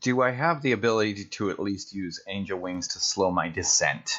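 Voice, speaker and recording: A man with a lowish voice talks casually as a player in a tabletop roleplaying game. He works through his moves and rolls out loud. The recording is a little dull and lo-fi. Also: moderate pace.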